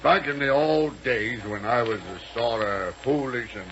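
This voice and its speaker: An American actor playing a dim, reluctant former air pirate who stammers through rough grammar in a 1930s radio serial. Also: male voice, medium pitch, a little slow, close to an everyday voice. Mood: slow-witted, unsure. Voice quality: gravelly. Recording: muffled, noisy 1930s radio recording.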